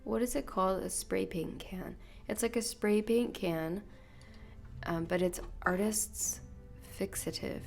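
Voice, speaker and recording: Slow, gravelly, congested woman podcaster. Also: medium pitch, faint music behind. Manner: soft, half-whispered, meandering. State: congested, nasal from illness. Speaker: podcast host. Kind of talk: rambling solo podcast monologue. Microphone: podcast microphone.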